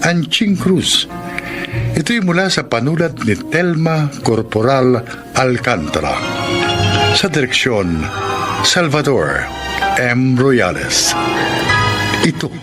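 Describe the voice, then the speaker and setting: A man's voice: mid-range voice, very animated. A man as a radio drama host, reading the credits and introducing the next story formally. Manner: slow, formal, measured. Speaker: program host and announcer. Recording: music bed behind voice.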